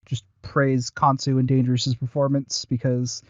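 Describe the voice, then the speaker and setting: A man's voice: medium pitch, completely flat delivery, normal speed, no room sound, lo-fi sound. A man co-hosting a podcast, talking casually and somewhat hesitantly as he reviews audio dramas. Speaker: podcast co-host.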